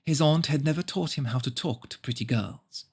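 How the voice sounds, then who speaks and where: A man's voice: on the high side, moderate pace, breathy edge. A man voicing a prim, pious, self-satisfied mother who narrates her story in a preachy, cloying way. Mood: earnest, preachy.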